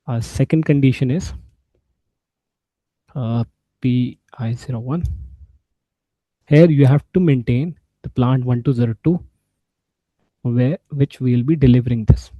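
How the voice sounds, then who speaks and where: A man giving an informal software walkthrough, explaining step by step in a halting way. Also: mid-range voice, no echo, low-quality recording. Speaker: SAP instructor.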